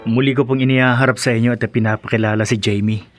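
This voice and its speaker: An actor playing an earnest, devoted young husband and dutiful son, placating and hesitant, in a radio drama. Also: male voice, mid-range voice, steady tone, quick pace, soft background music, lightly acted. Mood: nervous, placating. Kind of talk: radio drama acting in Tagalog.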